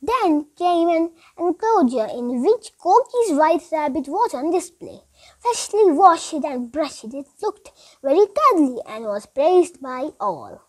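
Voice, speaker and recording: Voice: high voice, sing-song, takes it slowly, low-quality recording. A child of about eight reading a storybook aloud as a school recitation, with emphatic delivery and small slips.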